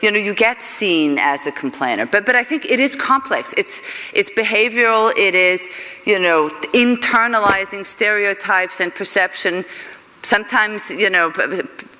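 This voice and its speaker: A woman from Iceland, answering audience questions at a conference in a halting way, often repeating and restarting words. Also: medium pitch, sharp tone, long reverb tail, low-quality recording. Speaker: conference speaker.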